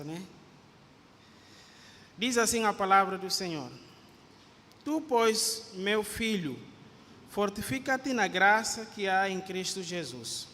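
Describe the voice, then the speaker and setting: A man from Guinea-Bissau with a Guinea-Bissau Portuguese accent, a visiting pastor who speaks slowly in a high voice. He greets the congregation and reads scripture, often ending phrases with a questioning tag. His voice carries a long church-hall reverb over a faint background hiss. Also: bright, forceful tone.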